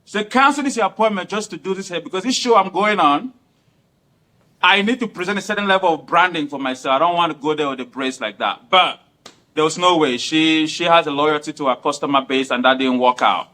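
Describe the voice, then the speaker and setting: Earnest man, bright voice. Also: fairly high pitch, a little fast. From Cameroon. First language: not English. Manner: earnest, formal, repeats himself. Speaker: guest. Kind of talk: explaining himself in an interview.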